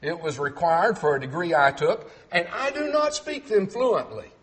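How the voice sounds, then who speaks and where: Clipped-speaking Texan preacher, lively pitch. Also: male voice, normal speed. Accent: Texan, trained toward clipped neutral speech. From Texas. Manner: clipped, clearly enunciated delivery, reads verses aloud with emphasis. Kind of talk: sermon teaching from scripture.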